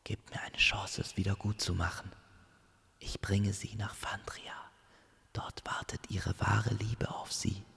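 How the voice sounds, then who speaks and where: A man's voice: lowish voice, flat delivery, measured pace, gravelly, fairly bright tone, breathy edge, whispered, long reverb tail, full character voice. A man playing an earnest, apologetic young male angel in an audio fairy tale. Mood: urgent whisper.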